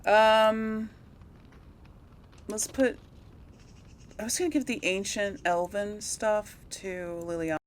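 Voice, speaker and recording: A woman's voice: mid-range voice, steady tone, takes it slowly, long pauses, a little hiss or hum. A woman hosting a gameplay video in casual commentary, rambling and thinking aloud as she repeats herself.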